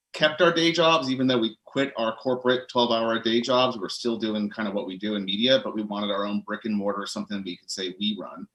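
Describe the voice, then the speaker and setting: A man from Connecticut, a bookstore owner, telling his story in a relaxed interview chat with easy fillers. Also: medium pitch, rough recording.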